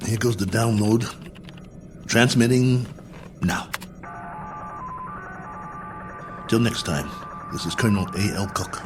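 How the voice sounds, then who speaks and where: A man acting as a solitary space probe pilot with a fairly low voice. He is a wry, world-weary colonel speaking his log in radio-drama style, with clear music underneath. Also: unhurried, close to an everyday voice. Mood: wistful, resigned. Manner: wry, musing, self-mocking. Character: lonely, bored male astronaut colonel, lone probe pilot.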